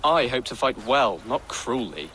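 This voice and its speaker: An actor playing an earnest, gentle young man reluctant to fight, speaking hesitantly in a video game. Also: medium pitch, sing-song, unhurried, rough recording. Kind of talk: dramatic video game acting. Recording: loud ambience noise over voice.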